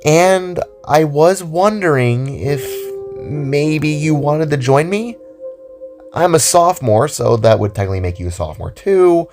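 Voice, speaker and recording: A man's voice: on the high side, expressive, a little slow, darkish tone, soft background music, pitched above the performer's own voice. A man voicing an upbeat, caring teenage girl heroine with an earnest, encouraging manner, in an audio drama. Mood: confident, earnest.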